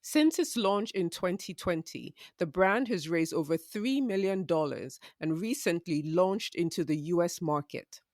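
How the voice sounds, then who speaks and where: Measured woman podcast host, dry sound. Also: lowish voice, expressive, darkish tone, no echo. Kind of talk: scripted intro, then interview questions.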